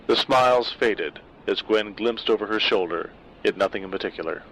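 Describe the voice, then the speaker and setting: A man reading a dark short story aloud in a measured, wry way. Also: lowish voice, slight background noise, poor audio. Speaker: narrator. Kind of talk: scripted story narration.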